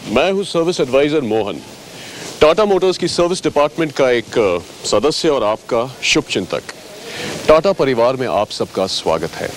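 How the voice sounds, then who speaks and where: A man's voice: medium pitch, very animated, normal speed, a little hiss or hum. A man presenting a scripted training film as a service advisor, courteous and instructive, addressing viewers directly.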